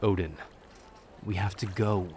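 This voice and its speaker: Guy doing a gruff warrior king, really deep dark husky voice, terse n stubborn, asmr roleplay. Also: fairly even intonation, a little slow, a little hiss or hum. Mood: determined. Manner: terse, resolute. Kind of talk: ASMR audio roleplay acting.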